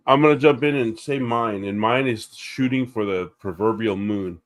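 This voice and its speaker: A man co-hosting a casual art livestream, enthusiastic and quick to agree. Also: lowish voice. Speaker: co-host and colorist.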